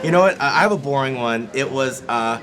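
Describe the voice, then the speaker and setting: A man's voice: medium pitch, lively intonation, a little fast, fairly bright tone, rough recording. A man hosting a casual, joking chat among guitar players, talking loosely with plenty of filler words. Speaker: host. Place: gear trade show.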